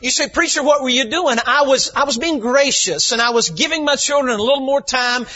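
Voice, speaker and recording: A man, a visiting preacher, slightly hoarse, telling folksy stories and building to shouted emphasis in a sermon. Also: very high voice, fairly quick. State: slightly hoarse from lack of sleep. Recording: dull lo-fi church recording.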